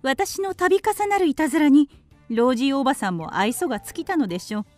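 Earnest, high-voiced little canary. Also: female voice, expressive, brisk, darkish tone, soft background music. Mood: wistful. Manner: polite, wistful, emotive. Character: earnest, devoted female canary telling her life story. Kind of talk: audio drama storytelling.

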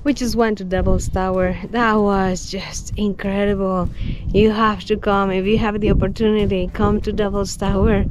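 A woman's voice: medium pitch, little pitch movement, moderate pace, hardly any pauses. A woman in her thirties filming herself on a road trip, chatting cheerfully to the camera and laughing often. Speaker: travel vlogger. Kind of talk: casual travel vlog to camera. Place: outdoors on a road trip, roadside and campsite. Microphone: handheld camera at arm's length. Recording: music bed underneath.

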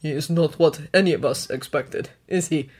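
A woman's voice: sits low, moderate pace, darkish tone, slightly rough, voice pushed down. A woman voicing a giant male dragon in an audio story, proud and emotional, with grand formal speech. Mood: dry, disapproving.

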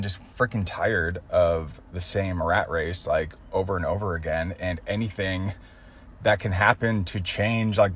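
A man in his thirties rambling casually and wearily into a phone in a car, with hesitant pauses. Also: fairly low pitch, a little hiss or hum. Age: 30s. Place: inside a parked car. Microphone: phone at arm's length.

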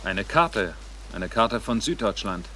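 An actor playing a businesslike police detective in a German crime radio drama. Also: male voice, on the low side, expressive, slow pace, very noisy. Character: businesslike male police detective searching a car.

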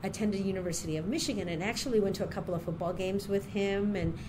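A woman's voice: mid-range voice, quick pace. A middle-aged woman from Puerto Rico who grew up near Chicago, answering questions in an oral history interview, talking unhurriedly with many ums and restarts. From Puerto Rico, raised near Chicago. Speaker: interviewee, university diversity director. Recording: steady background noise.

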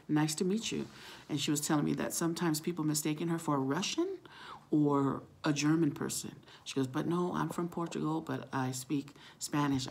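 A middle-aged woman with a husky, gravelly voice, chatting casually to camera and telling a story, acting out the dialogue as she goes. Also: low-pitched. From Samoan, living in California. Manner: chatty, acts out quoted dialogue. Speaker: vlogger. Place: small room at home, indoors. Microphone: phone at arm's length.

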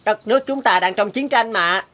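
A woman voicing an earnest, expressive young boy in a cartoon dub. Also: medium pitch, normal speed, lightly acted. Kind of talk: cartoon dubbing. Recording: music behind the voice. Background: instrumental music.

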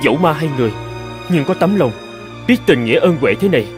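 A man's voice: on the high side, expressive, fast talker, music in the background, natural voice. A man playing a tender, earnest young scholar in a folktale cartoon, speaking in formal old-fashioned phrases. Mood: tender, wistful. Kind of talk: dramatic cartoon voice acting.

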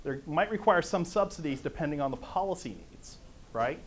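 A middle-aged man with a conversational, lively manner, lecturing to a conference audience in a meeting room. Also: on the high side, fairly quick, a little hiss or hum. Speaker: conference speaker. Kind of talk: lecture on economics.

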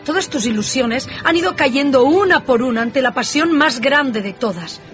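Sorrowful, gentle young woman. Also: high-pitched, animated, normal speed, dull audio. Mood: sad, resigned. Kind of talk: radio drama acting. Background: music.

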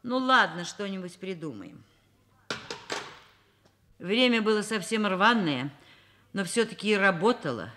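An actress playing an overworked middle-aged professor and mother in a Soviet radio drama, expressive, wry and self-mocking. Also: medium pitch, a little slow, long pauses, slight background noise. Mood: businesslike. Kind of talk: Soviet radio drama acting, first-person narration.

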